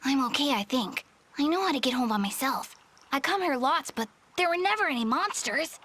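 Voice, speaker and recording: A woman's voice: sits high, lively intonation, fairly quick, pushed and bright, slight background noise. A woman voicing a frightened, polite young rabbit boy in a video game. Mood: anxious. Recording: game sounds behind the voice.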